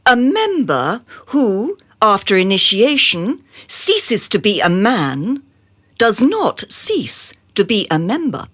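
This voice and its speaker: A woman's voice: mid-range voice, big pitch swings, takes it slowly, fairly bright tone, heavily acted. A woman playing a haughty, callous society madam in a satirical radio drama, theatrical and imperious in manner. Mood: grand.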